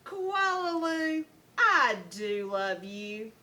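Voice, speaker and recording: A woman's voice: sits high, sing-song, extremely slow, bright, forceful tone, pitched above the performer's own voice, heavily acted. A woman voicing a loving, tender koala mother from a storybook in an affectionate sing-song way.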